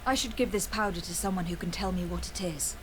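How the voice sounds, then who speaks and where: A woman's voice: lowish voice, quick pace, slight background noise, natural voice. Woman acting formal, composed young noblewoman diplomat. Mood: measured, probing. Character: earnest young noblewoman diplomat investigating crimes. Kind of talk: video game dialogue acting.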